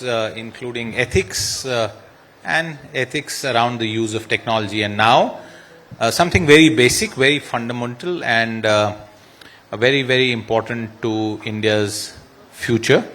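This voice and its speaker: Panel moderator, male, mid pitch, hesitant delivery with fillers and playful asides. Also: a bit bright.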